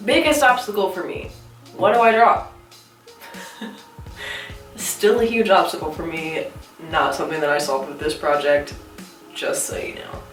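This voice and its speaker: A woman's voice: lowish voice, unhurried, pauses often, far from the mic. A woman in her twenties with a stuffy, congested voice from a cold, chatting casually to the camera about her art. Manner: chatty, self-questioning, giggly. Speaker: artist vlogger. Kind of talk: casual talking-to-camera vlog. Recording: roomy echo, a bit off-mic, soft music.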